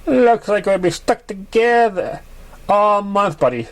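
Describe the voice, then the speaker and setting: A man's voice: high-pitched, a little slow, voice pushed up. A man voicing a playful, teasing stallion pony buddy in an amateur story reading, with bantering delivery.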